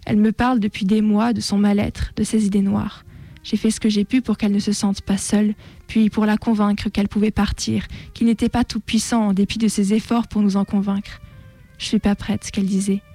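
A woman reading a written first-person testimony aloud on the radio in a solemn, measured way. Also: mid-range voice, flat delivery, mellow. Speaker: radio show contributor reading a testimony on air.

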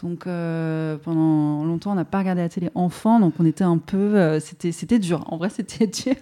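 A woman's voice: fairly low pitch, moderate pace, mellow. A French woman, a podcast guest, talking in a casual, reflective way with many hesitations and restarts. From France.

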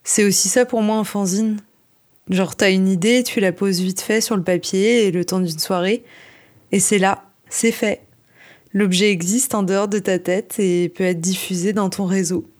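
A woman's voice: medium pitch, steady tone, moderate pace, darkish tone. A woman co-hosting a podcast, presenting from a script with casual asides. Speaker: podcast co-host. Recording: music playing behind the voice. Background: music.

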